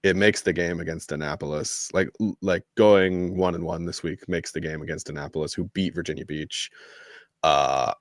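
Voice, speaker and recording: A man's voice: low voice, fairly even intonation, normal speed, no echo, studio quality. A man co-hosting a podcast, talking sports casually in loose, conversational phrases. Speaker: podcast co-host.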